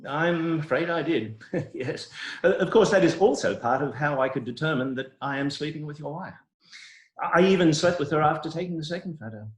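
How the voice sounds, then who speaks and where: A man's voice: fairly high pitch, a little fast, roomy sound, lightly acted. A man playing a smug, shameless doctor with a grave, hesitant clinical manner in a comic play reading over a video call. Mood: smug, patronizing. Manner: hesitant, mock-solemn clinical delivery.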